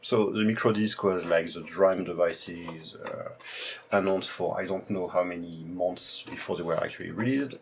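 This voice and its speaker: French-accented male host, low gravelly voice, hesitant casual show-and-tell. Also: normal speed, a little hiss or hum. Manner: hesitant, heavy use of "uh" fillers. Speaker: retro computing video host.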